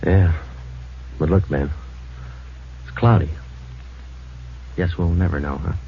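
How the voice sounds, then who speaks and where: An actor playing a terse, no-nonsense police detective who narrates a 1940s radio crime drama, matter-of-fact in manner. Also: male voice, low-pitched, slow pace, very noisy. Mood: weary. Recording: muffled old 1940s radio recording, music.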